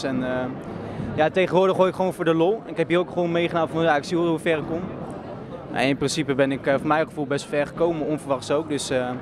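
A man's voice: a little high, noisy background. A young man answering a reporter modestly and hesitantly, with many fillers, into a handheld mic in a bowling centre. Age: young adult. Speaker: amateur bowler interviewed. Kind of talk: casual interview answers. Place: bowling centre bar, indoors.

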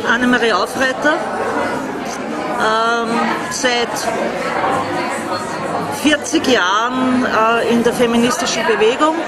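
A woman's voice: on the high side, steady tone, slow, pauses often. An older woman introducing herself on camera. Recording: echoey, loud music and crowd. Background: loud music, crowd.

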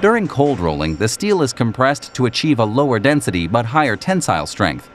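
Man narrating with lively, varied intonation. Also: medium pitch, a little fast, noisy background. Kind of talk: scripted documentary narration.

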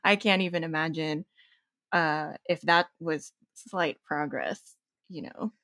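A woman who runs her own business talks as a podcast guest, reflective and quick to laugh between thoughts. Her voice is fairly low and the recording is dry and close. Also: a bit bright, no room sound. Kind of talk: casual interview chat.

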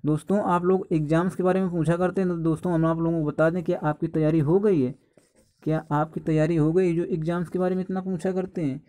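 A man's voice: on the high side, flat delivery, quick pace, warm tone. A man giving informal exam guidance as a coaching teacher, instructive and often addressing his students as friends.

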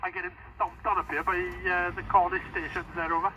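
A man's voice: sits high, level tone, a little fast, muffled recording. A man chatting casually as a CB operator, heard over a radio. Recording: distant CB radio speaker with loud static.

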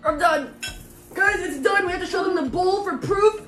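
Young woman vlogger, really high voice, chatty n slangy, music behind her. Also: normal speed, a bit bright. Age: 20s. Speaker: vlog host. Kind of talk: casual chat to camera. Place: home kitchen and dining room. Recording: echoey kitchen with music behind.

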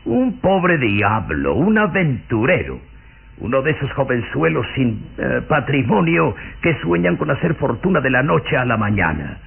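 Pompous old nobleman, animated, thin radio sound. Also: medium pitch, measured pace, gravelly, slightly rough, narrow, tinny audio, heavily acted. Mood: disdainful. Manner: pompous, effusive, hesitant phrasing. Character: elderly impoverished nobleman, fussy, effusive, domineering father. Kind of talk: old radio drama acting.

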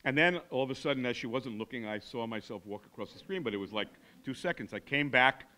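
A man of about eighty with an American accent, giving a keynote speech as storytelling in deliberate, measured phrases with dramatic pauses. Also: mid-range voice, lively intonation, bright, forceful tone. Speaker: keynote speaker. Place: large classroom before a seated audience. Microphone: podium microphone.

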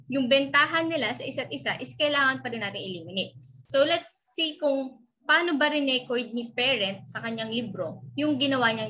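Bright, high-voiced young Filipino woman teaching. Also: low-quality recording. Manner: conversational, switches between Tagalog and English. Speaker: accounting instructor. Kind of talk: informal explanatory lecture.

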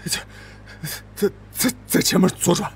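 A man's voice: unhurried, slight background noise, natural voice. A man playing a cowardly, panicked captive who stammers and pleads in a strained voice in an audiobook drama. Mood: terrified. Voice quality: creaky, raspy, strained.

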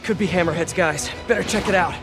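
A man's voice: sits high, fast talker, music in the background. An actor with an American accent playing a good-natured, wisecracking young male superhero in a video game. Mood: easygoing, mentoring. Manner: wisecracking, conversational.

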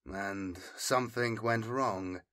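A man's voice: on the low side, takes it slowly, pushed and bright. A man voicing an earnest, sceptical, stubbornly loyal male scribe in an audiobook, terse and guarded.

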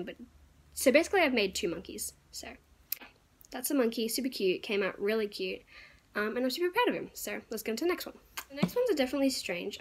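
A young woman losing her voice, chatting casually and rambling as she shows off her crafts to viewers. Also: medium pitch. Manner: chatty, rambling, lots of fillers and little laughs. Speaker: crafting YouTuber. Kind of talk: casual show-and-tell vlog.

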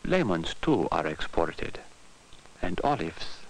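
Deep-voiced, slow male documentary narrator. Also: big pitch swings, low-quality recording. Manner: measured, deliberate, short phrases with pauses. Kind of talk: scripted narration, storyteller-like, simple sentences. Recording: 1960s educational film soundtrack with music. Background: guitar music.

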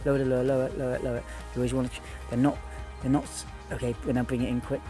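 A man guiding a beginner through fishing by a lake, giving quick, repeated instructions. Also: medium pitch, level tone, music in the background. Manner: urgent, repetitive instructions. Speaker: fishing guide. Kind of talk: on-the-spot coaching. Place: outdoors by a lake.

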